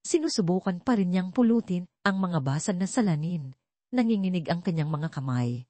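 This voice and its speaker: A woman's voice: on the low side, expressive, normal speed, dark tone, dry recording. A woman reading scripted dramatic narration as the narrator of an audio story.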